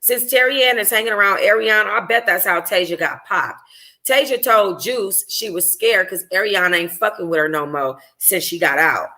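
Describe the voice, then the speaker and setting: Lady reading a story out loud, bright punchy voice, pretty informal and expressive. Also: mid-range voice, normal speed. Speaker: audiobook reader, book club host. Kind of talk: first-person novel narration read aloud.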